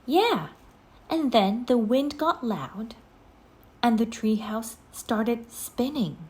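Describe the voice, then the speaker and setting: Woman voicing an eager, high-pitched young girl. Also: animated, takes it slowly. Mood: puzzled. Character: eager, bold, friendly young girl adventurer.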